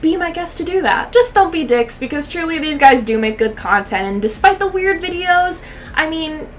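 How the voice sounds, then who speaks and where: A woman's voice: very high voice, lively intonation, moderate pace, a little hiss or hum. A young woman giving casual, sarcastic YouTube commentary in slangy, sweary language. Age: young adult.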